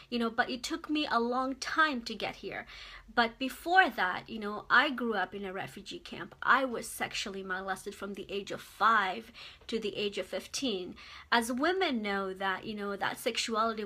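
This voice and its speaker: Woman from mozambique, bright ringing voice, kinda high, earnest motivational livestream talk, repeats stuff for emphasis. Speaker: guest speaker. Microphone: phone or webcam livestream.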